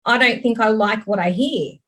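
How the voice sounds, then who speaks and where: A woman's voice: on the high side, normal speed, fairly bright tone. A woman from Europe sharing candidly as a participant in an online workshop over a video call. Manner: candid, self-reflective.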